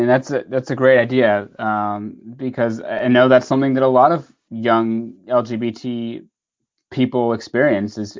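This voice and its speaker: A man's voice: lowish voice, muffled recording. A man hosting a casual podcast interview, talking loosely with many restarts. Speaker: podcast host. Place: at home in Southern California.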